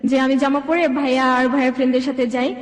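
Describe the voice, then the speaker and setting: Young female guest, high voice, detailed run-on storytelling with quoted dialogue, reverberant sound. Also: flat delivery, fast. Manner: run-on, detailed retelling with reported speech. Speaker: guest telling own life story. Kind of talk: conversational personal storytelling, quoting dialogue.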